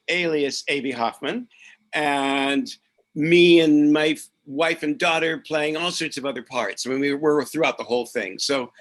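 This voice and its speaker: A man's voice: fairly high pitch, fairly bright tone. A man who is an author, talking about his comic fiction and reading it aloud as narrator. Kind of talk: chat, then dramatic reading of comic fiction.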